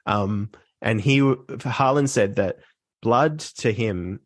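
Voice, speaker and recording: A man hosting a casual podcast conversation, explaining things informally and haltingly into a close microphone. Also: lowish voice, no echo.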